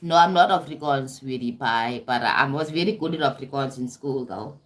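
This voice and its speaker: Deep-voiced woman hosting a livestream. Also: moderate pace. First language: English. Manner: warm-toned chatty, calls viewers "sweetie pie", frequent "right?" and restarts. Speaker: livestream host. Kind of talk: casual livestream talk on self-development.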